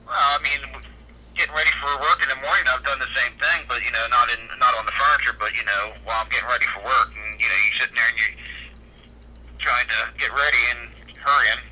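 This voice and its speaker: A very bright, forceful American man speaks over a telephone line at a quick clip. He sounds like a service worker, patient and matter-of-fact, giving casual step-by-step advice in a mid-pitched voice. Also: steady tone, breathy edge. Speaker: cleaning service phone worker. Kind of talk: casual practical phone advice.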